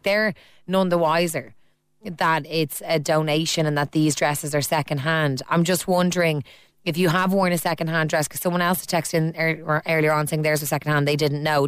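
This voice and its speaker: A woman's voice: low-pitched, clean tone, no echo. A woman with an Irish accent hosting a phone-in radio show, chatting and teasing callers and reading out listener texts. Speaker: radio host. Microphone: studio mic.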